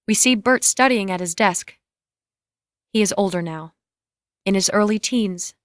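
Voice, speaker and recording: A woman's voice: mid-range voice, a little slow, no echo. A woman narrating the scene directions of a script in a scripted dramatic reading.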